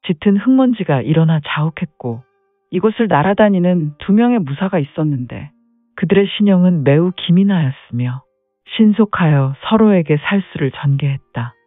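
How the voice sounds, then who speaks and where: A man with a fairly high voice narrates a martial-arts serial in a dramatic storyteller's manner, sprinkling in "heh heh" interjections. The audio is thin and phone-like and very dry. Faint music plays underneath. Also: lively intonation, normal speed, no echo.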